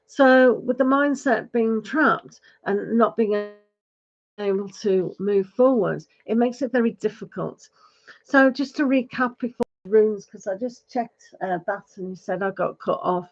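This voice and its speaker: A woman giving a live tarot reading, advising gently with many fillers, her voice going hoarse. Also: medium pitch, unhurried, dull audio. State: losing her voice, clearing throat. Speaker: tarot reader.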